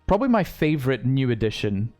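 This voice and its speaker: A man with a mix of British and American English, calmly analyzing a live esports match and laughing easily. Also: mid-range voice, fast talker, faint music behind. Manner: easygoing, laughs often, analytical. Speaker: esports co-caster. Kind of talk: live game commentary.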